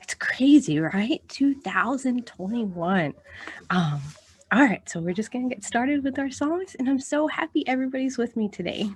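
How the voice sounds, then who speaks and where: A woman's voice: on the high side, expressive, moderate pace, a little hiss or hum. A woman hosting a storytime for young children, talking encouragingly and reading aloud to her little audience. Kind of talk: children's storytime with songs and picture-book reading.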